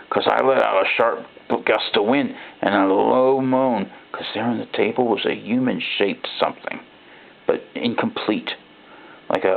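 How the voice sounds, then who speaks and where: Guy playing a scared regular dude telling his creepy robot horror story, gravelly n halting, sounds like its thru a phone. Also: lowish voice. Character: frightened ordinary man recounting robot replacements. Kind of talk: first-person dramatic horror narration. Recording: thin, phone-like narrow-band sound.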